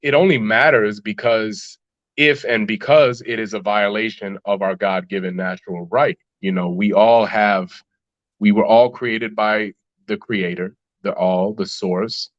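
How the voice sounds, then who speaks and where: Man, low voice, musician guest, reflective, hesitant restarts, dull dry audio. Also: no echo. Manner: reflective, many fillers and restarts. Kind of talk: podcast interview answers.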